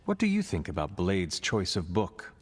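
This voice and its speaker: A man's voice: low voice, wildly swooping pitch, normal speed, slightly rough, heavily acted. A man playing an earnest, drily teasing sword-wielding hero who speaks formally in video game cutscene dialogue. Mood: inquisitive.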